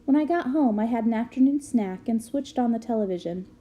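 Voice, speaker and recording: A woman reading a book aloud as an expressive narrator. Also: on the high side, brisk, dull audio. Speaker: reader of a children's book aloud.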